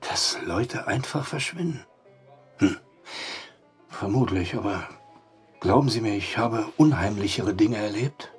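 An actor playing a gruff, sceptical war-veteran barkeeper in an audio drama, blunt, down-to-earth and husky. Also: male voice, on the low side, animated, unhurried, soft background music, exaggerated voice. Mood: haunted, subdued.

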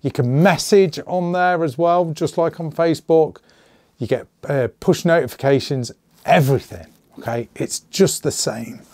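Middle-aged host, slightly hoarse, chatty and rambling, dry close mic. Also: male voice, a little high, normal speed, a little mellow, dry recording. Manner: chatty, rambling, jokey asides. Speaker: channel host. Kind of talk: casual to-camera channel update. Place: small indoor gun room.

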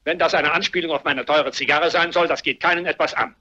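A man's voice: a little high, fairly even intonation, fast, bright, forceful tone, dull audio. An older actor playing a cantankerous, miserly grandfather who grumbles and barks sarcastically in a radio play. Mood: grumpy, dismissive. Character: cantankerous, miserly old grandfather, suspicious invalid tyrant. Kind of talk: German radio play acting.

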